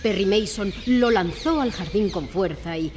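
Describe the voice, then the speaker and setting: A woman's voice: medium pitch, expressive, unhurried, a little mellow. Female audio drama narrator, scripted delivery, live in a reverberant hall, faint noise. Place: public library hall, live performance.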